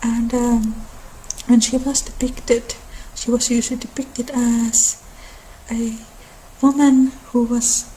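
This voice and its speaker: A woman who is not a native English speaker, giving a soft ASMR-style informal lecture in a halting way, as if explaining lore on her own channel. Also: a little high, level tone, a little hiss or hum, low-quality recording. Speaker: host of a mythology channel.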